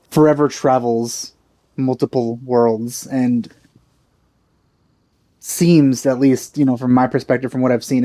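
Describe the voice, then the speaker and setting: Male let's play host: soft-edged dark tone, dry close sound, halting, thoughtful casual commentary. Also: mid-range voice, no room sound. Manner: musing, hesitant, halting phrasing. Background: game music.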